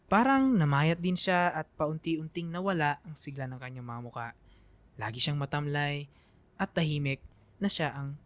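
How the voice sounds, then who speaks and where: A woman's voice: deep voice, no room sound. A woman with a Filipino accent giving an emotive, reflective scripted narration for a radio drama.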